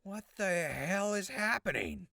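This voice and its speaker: An actor playing a bewildered, indignant wasteland captive in an audio drama, stammering and exasperated. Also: male voice, sits high, big pitch swings, unhurried, vocal fry, bright, forceful tone, dry recording, clean studio sound, heavily acted. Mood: shocked, bewildered. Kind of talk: post-apocalyptic audio drama acting.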